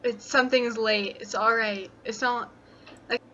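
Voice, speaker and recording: A woman's voice: fairly high pitch, steady tone, normal speed, pushed and bright. A woman giving an informal opinion on dull lo-fi audio with faint noise. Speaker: video maker defending game developers.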